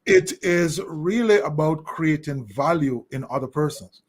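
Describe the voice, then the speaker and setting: A middle-aged man with a Jamaican accent giving thoughtful, deliberately phrased answers as an interview guest. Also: fairly high pitch, animated, breathy edge. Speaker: interview guest, leadership consultant.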